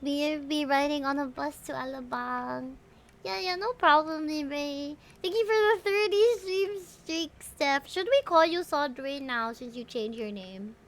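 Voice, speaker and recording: A woman with a Filipino accent chatting casually and excitably on a livestream, often exclaiming as she reacts to a game. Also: high voice, unhurried.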